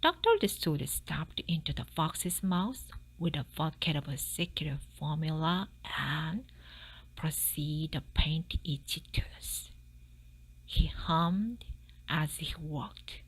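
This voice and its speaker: A woman reading a storybook aloud in expressive, scripted narration. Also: low-pitched, slow pace, husky, low-quality recording. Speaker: storybook reader.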